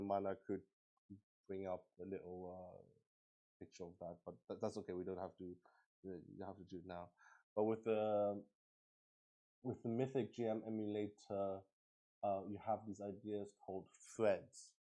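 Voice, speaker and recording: Slow-talking, halting male tabletop streamer. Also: medium pitch, dark tone. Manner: halting, rambling, thinking aloud. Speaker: streamer hosting solo tabletop roleplay. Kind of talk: casual livestream explanation, chatting to viewers.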